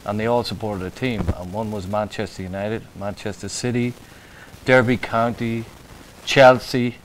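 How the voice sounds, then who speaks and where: A man's voice: lowish voice, fairly even intonation, normal speed, a little hiss or hum, low-quality recording. A middle-aged Irish man from Ireland, a bar owner chatting as a guest with an easygoing, dry-humoured manner. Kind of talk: casual chat.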